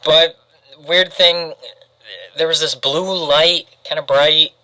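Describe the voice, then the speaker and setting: A man's voice: a little high, steady tone, slow pace, pushed and bright, heavily acted, low-quality recording. A man playing a brash, curious young guy who talks in a slangy, offhand way, as if vlogging. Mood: excited, casual. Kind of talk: casual vlog-style acting.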